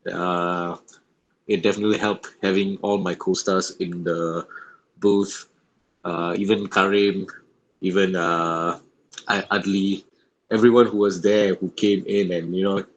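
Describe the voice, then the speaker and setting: A man's voice: sits low, little pitch movement, measured pace, dull audio. A man, a voice actor chatting casually about his work in a roundtable. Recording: clearly noisy background.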